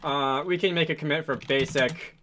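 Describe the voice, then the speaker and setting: A man hosting a casual programming tutorial, thinking aloud in a halting, hesitant way as he works through code on screen. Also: a little high, fairly even intonation, background noise. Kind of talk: casual screen-recorded coding walkthrough.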